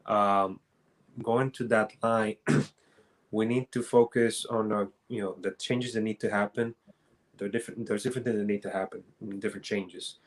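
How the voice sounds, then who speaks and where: A man from Rico, giving an earnest, halting, unscripted answer as an advocate on a panel. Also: on the low side, fairly even intonation. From Puerto Rico.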